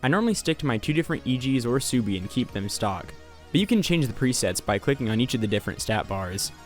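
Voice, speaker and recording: A man's voice: medium pitch, lively intonation, fast. A young man doing a casual voice-over game review, sarcastic and profane. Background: faint music.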